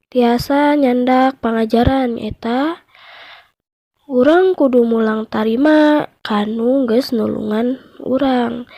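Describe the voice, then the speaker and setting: Slow, high-voiced Sundanese woman teacher. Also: dark tone, no echo. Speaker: primary school teacher. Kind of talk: lesson and storytelling narration for children.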